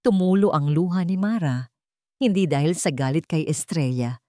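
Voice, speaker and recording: A woman with a Filipino accent narrates a dramatic scripted story with emotive, deliberate phrasing. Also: deep voice, lively intonation, dark tone, dry recording, clean studio sound.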